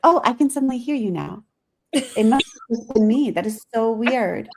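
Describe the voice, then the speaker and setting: A woman hosting a live online interview in a chatty way, full of "like" and "um", her voice a little raspy from talking all morning. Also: on the high side, animated, normal speed, a little mellow, slight background noise. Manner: chatty, filler-heavy, says "like" often. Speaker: host of live interview series. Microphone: video call.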